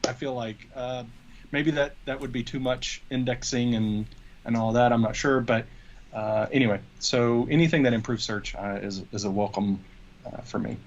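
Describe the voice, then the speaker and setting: A man's voice: mid-range voice, steady tone, normal speed, dull audio, slight background noise. A man in his forties co-hosting a tech show in a casual, hesitant, conversational way, thinking aloud as he goes. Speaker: co-host of a tech news show. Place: small home office, video call.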